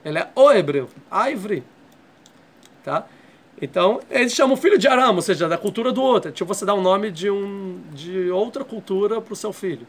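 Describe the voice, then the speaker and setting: A man's voice: high voice, lively intonation, brisk. A man teaching a Torah class. He explains step by step and keeps checking in with his students. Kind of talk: classroom lecture.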